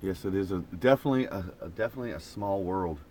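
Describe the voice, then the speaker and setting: A man's voice: deep voice, fast, dark tone, slight background noise. A man from Oklahoma with an Oklahoma accent, rambling in a folksy, casual live chat from an old camper van while reading viewer comments. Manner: rambling, folksy, laughs often.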